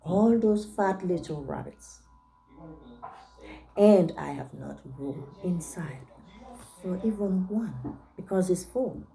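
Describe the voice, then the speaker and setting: A woman reading a picture book aloud to young children as a teacher, pausing to check in with her listeners. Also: lowish voice, lively intonation, vocal fry, dark tone, low-quality recording.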